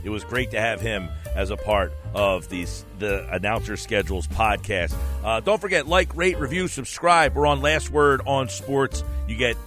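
A man's voice: on the low side, normal speed, hardly any pauses, fairly bright tone, faint music behind. A man hosting a sports podcast in a polished sports talk radio style, as if wrapping up a show.

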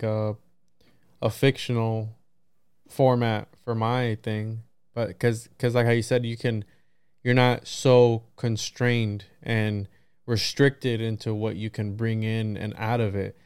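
A man's voice: mid-range voice, flat delivery, unhurried, a little mellow, smooth, no room sound, studio quality. A man hosting a podcast, chatting informally in a rambling, self-deprecating way. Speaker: podcast host interviewer. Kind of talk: casual conversational interview.

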